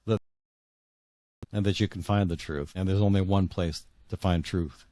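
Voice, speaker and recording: A man's voice: sits low, fairly even intonation, moderate pace, dark tone, no room sound, clean studio sound. A man answering interview questions as an author, conversational and hesitant, often rephrasing as he goes.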